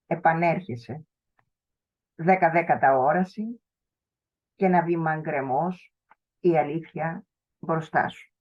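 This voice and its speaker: A woman whose first language is Greek, reading her poem slowly and with measure over a video call. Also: deep voice, muffled recording. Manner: slow, measured recitation. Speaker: poet. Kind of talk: poetry reading.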